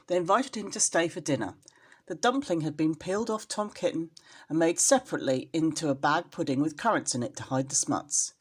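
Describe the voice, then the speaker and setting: A woman's voice: sits low, breathy edge. A woman storyteller reading a children's storybook expressively, as if to a young listener.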